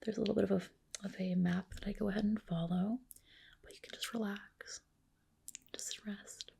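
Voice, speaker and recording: Woman, soft breathy whisper, slightly creaky, soothing, reassuring, ASMR medical roleplay. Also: medium pitch, fairly even intonation, moderate pace. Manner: soothing, reassuring clinician addressing a patient.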